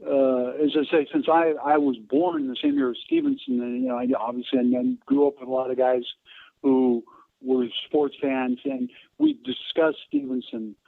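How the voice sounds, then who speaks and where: An American man of around seventy telling stories in an interview, halting and digressive, often pausing mid-sentence. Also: fairly high pitch, very animated, vocal fry, no echo. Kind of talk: conversational interview storytelling. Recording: narrow phone-like line.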